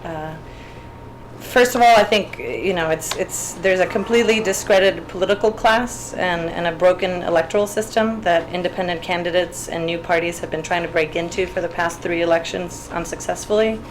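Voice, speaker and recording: A fairly low-pitched young woman from Puerto Rico gives a panel talk in a hesitant, stop-and-start way, often repeating words as she finds her thoughts. There is a faint hum of noise behind her at the event. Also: moderate pace, rough recording. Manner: hesitant, many restarts and fillers. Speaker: panel speaker, artist. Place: event room.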